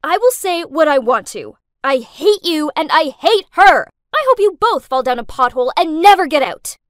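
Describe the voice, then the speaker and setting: A woman playing jealous young woman, fiery, high and swooping, emphatic, story drama. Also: very animated, moderate pace, no room sound, clean studio sound. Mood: screaming rage. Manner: melodramatic, emphatic. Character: hot-tempered, jealous young woman, screaming and pleading.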